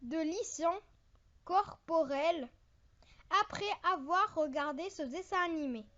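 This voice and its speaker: A very young-sounding host narrating an informal online countdown, reading haltingly phrase by phrase with casual asides to viewers. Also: extremely high pitch, pushed and bright, highs cut off. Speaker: video host.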